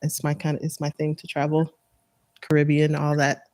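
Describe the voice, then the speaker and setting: A woman's voice: sits low, completely flat delivery, moderate pace, darkish tone. A woman from Europe, an entrepreneur, casually introducing herself in conversation. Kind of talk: casual self-introduction in a group.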